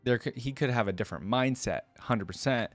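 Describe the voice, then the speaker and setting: A man's voice: mid-range voice, a little fast, no echo, soft background music. A man giving reflective reaction commentary and reading game lore aloud in a casual style.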